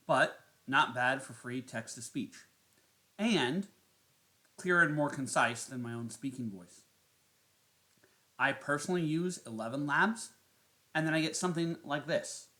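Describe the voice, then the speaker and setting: A man narrating a step-by-step software tutorial as its host. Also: on the high side, animated, a little slow, pauses often.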